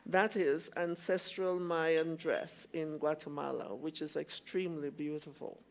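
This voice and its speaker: A middle-aged woman chairing a formal hearing, speaking hesitantly with frequent pauses into a table microphone. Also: deep voice, flat delivery. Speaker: commissioner chairing a public hearing. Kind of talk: formal procedural remarks, halting. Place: conference hall hearing room. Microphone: gooseneck table microphone.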